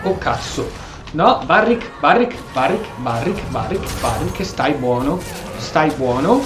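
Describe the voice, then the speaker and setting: An Italian-accented man casually commentating on a video game, with a voice a bit on the high side. He thinks aloud and repeats himself in a roomy, echoey recording, with clear game music behind him. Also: animated, measured pace. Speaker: gamer commentator.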